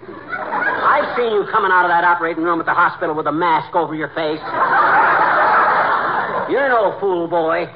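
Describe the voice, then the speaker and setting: A cocksure American know-it-all husband in an old radio comedy. His voice is high and swings widely as he argues and blusters in homely slang. The recording is noisy. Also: huge pitch swings, moderate pace, long pauses, muffled recording, over a noisy crowd, exaggerated voice. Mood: scornful, blustery. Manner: blustery, argumentative, colloquial. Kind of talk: 1940s radio comedy acting.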